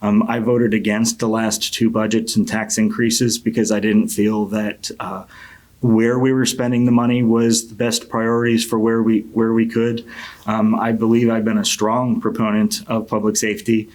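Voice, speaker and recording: A middle-aged man with a fairly low voice and very little melody delivers a campaign opening statement. He comes from Connecticut and speaks as a council member, earnest and measured, often pausing and restarting. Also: little pitch movement, a little mellow. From Connecticut, United States. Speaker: city council member and candidate.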